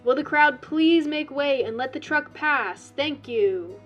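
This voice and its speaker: A woman voicing a pompous, formal loudspeaker announcer at a country fair, in a storybook reading. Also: high voice, expressive, slow, dull audio, soft background music, pitched above the performer's own voice.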